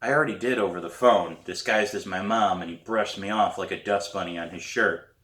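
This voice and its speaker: A man narrates a story expressively, as an author reading his own book. His voice is a little low and very bright and forward, with clear room echo. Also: fairly quick. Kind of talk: expressive audiobook-style narration.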